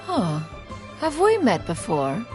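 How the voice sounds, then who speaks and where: A woman voicing a composed, aloof sage with formal, measured, archaic speech in a video game. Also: mid-range voice, wildly swooping pitch, music in the background. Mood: calm, courteous.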